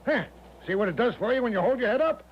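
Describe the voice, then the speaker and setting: High-voiced, boastful radio-drama major. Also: male voice, expressive, fast talker. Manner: grandly self-assured, coaxing showman's patter. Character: eccentric, boastful older American confidence-man major, breezily reassuring. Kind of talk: 1970s radio drama acting. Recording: dull, noisy 1970s radio recording.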